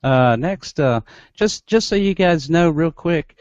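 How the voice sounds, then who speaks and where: A man's voice: a little high, animated, a little mellow, dry recording. A middle-aged man hosting a live group video call on a headset, talking loosely with restarts. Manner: loose, halting, with many restarts and laughs. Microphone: headset with headphones. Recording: dry, dull video-call audio.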